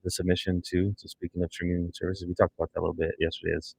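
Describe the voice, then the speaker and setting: A man's voice: low-pitched, level tone, very fast, creaky, darkish tone, slight background noise, poor audio. An American man chatting casually on a podcast in a studio, speaking into a close microphone. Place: indoor studio.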